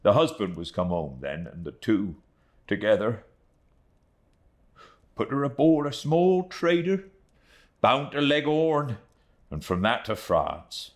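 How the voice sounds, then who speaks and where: An old fisherman, voiced by a man reading an audiobook, grieving and devoted. He speaks low and very slowly, in halting, heartfelt that moves between hushed and swelling. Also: big pitch swings, exaggerated voice. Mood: grateful, fervent. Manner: slow, emotional, halting storytelling with swelling and hushed moments. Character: grieving, devoted, rustic old English fisherman recounting his niece's ordeal.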